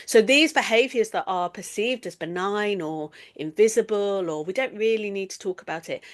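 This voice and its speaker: A middle-aged English woman speaks as a solo podcast host at a medium pitch. Her delivery is earnest and reflective, and she chooses her words deliberately, leaving pauses for emphasis. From East Midlands, England.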